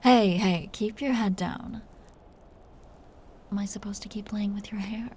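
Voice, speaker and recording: Slow, whispering woman as a tender vampire countess. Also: mid-range voice, fairly even intonation, slight background noise, lightly acted. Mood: gently teasing. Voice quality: soft, breathy whisper. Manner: slow, soothing, teasing endearments. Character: possessive, tender vampire countess doting on her captive. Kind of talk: intimate whispered ASMR roleplay.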